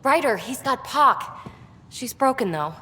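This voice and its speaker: A woman's voice: high voice, lively intonation, measured pace, pushed and bright, full character voice. A woman playing a guarded, restless young alien explorer in a video game, speaking in a clipped, wry and hesitantly confiding way. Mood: terse, suspicious.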